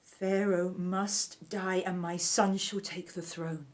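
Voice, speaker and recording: A woman playing a ruthless, scheming royal wife of ancient Egypt in an audio drama, speaking in an imperious, deliberate, menacing way. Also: lowish voice, steady tone. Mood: cold menace.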